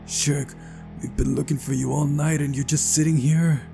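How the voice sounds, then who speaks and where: An earnest young man, the hero of a visual novel, acted out by a male reader, speaking higher than a relaxed talking voice. He is urgent and insistent, with worry in his manner and faint music behind. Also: moderate pace, vocal fry. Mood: exasperated urgency.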